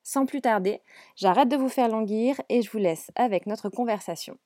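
Woman podcast host, fairly high voice, dry close sound, cheerful and scripted. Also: normal speed, no echo. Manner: bright, upbeat delivery in the read intro, hesitant with fillers in conversation. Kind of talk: scripted intro then casual interview.

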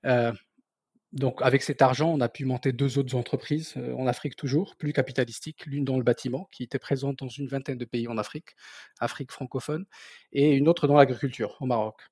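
A man's voice: mid-range voice, steady tone, fairly quick, a little mellow, husky, no echo. Man in his thirties, native Berber speaker, dry sound. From southern Morocco. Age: 30s. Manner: hesitant, repeating words as he searches for phrasing. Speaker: podcast guest, company founder. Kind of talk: casual autobiographical interview.

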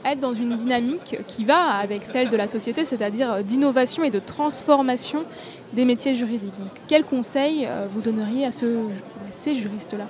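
Woman, interviewer, fairly high voice, hesitant, long questions, crowd noise behind. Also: fairly quick. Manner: hesitant, many fillers, long winding questions. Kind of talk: event interview. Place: busy trade fair, outdoors or large hall. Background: crowd chatter.

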